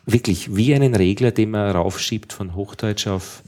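An Austrian man with a lowish voice tells anecdotes in a loose, rambling way. He restarts his sentences often and keeps the tone of a relaxed chat. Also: moderate pace. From Upper Austria. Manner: rambling storyteller, many restarts. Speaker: radio interviewer. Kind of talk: casual anecdotal conversation.

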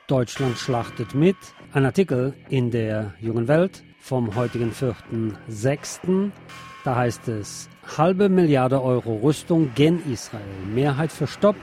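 A male radio presenter reading out press reports and commenting on them in a deliberate, emphatic way. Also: mid-range voice, warm tone. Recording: music behind the voice. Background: background music.

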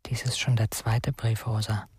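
An actor playing a wistful, reflective man in an intimate radio drama monologue, breathy and half-whispered. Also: fairly low pitch, flat delivery, normal speed, darkish tone, no echo.

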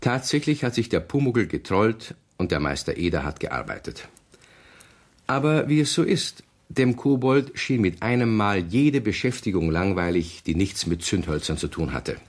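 A man with a low voice narrates a children's radio play. His storytelling is measured yet richly animated, with wide rises and falls in every sentence.

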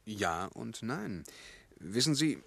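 An actor playing a polite, slightly flustered young English bank employee in a 1950s German radio play, earnest and a little hesitant. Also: male voice, lowish voice, very animated, slow pace, creaky, a bit bright, breathy edge, no room sound, exaggerated voice. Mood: guarded, candid. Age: young adult.

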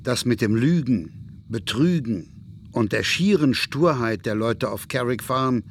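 An actor playing a knowing townsman who recalls a local tale in a deliberate, reflective way, in a radio play. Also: medium pitch, expressive, a little hiss or hum. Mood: knowing, wry. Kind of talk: radio drama storytelling.

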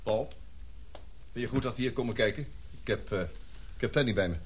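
A man plays a grave scientist in a radio drama. His mid-pitched voice explains things in a measured, solemn manner, over a faintly noisy old recording. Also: natural voice. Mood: reassuring. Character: grave, reasoning male scientist on an expedition. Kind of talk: Dutch radio drama acting.

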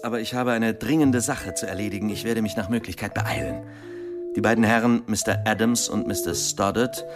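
An actor playing a factual police inspector in a radio play, reporting in a matter-of-fact, official manner. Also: male voice, fairly low pitch, close to an everyday voice. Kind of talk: German radio play acting.

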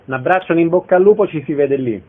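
A man's voice: fairly high pitch, fast talker, narrow, tinny audio, a little hiss or hum. A man hosting a radio programme, asking questions and announcing events. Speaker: radio host, interviewer.

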